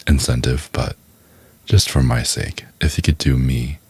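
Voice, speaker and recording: A man with a deep, gravelly, breathy voice talking casually and haltingly to his viewers as an ASMR creator. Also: fairly even intonation.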